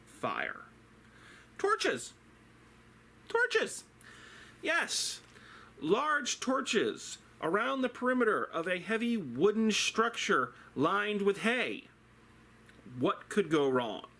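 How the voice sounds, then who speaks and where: Male theatre teacher, slightly higher-pitched voice, unhurried and theatrical, delivering a dramatic lecture like a storyteller. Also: wildly swooping pitch, vocal fry, bright, forceful tone. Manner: theatrical, deliberate, rhetorical questions.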